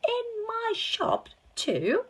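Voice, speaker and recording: A woman reading a storybook and voicing an excitable, friendly male shopkeeper who exclaims loudly. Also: very high voice, extremely slow, pitched above the performer's own voice, heavily acted. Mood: frantic delight. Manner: loud, exclamatory yelling when excited.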